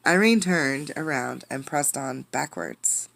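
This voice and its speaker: A woman reading a storybook aloud as a teacher, expressively, pausing to ask listeners questions. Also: a bass voice, creaky, a bit bright, a little hiss or hum. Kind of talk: interactive storybook read-aloud.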